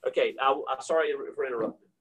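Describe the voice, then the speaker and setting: A man's voice: mid-range voice, quick pace, a bit bright, husky, highs cut off. A man in his forties hosting a video call, making blunt, emphatic coaching points from a room at home. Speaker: host. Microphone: video call laptop mic.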